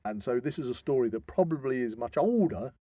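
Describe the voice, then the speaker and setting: A man's voice: medium pitch, animated, a little fast, warm tone, no room sound. A man co-hosting a podcast, explaining a story in a hesitant, digressive, conversational way. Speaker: podcast co-host.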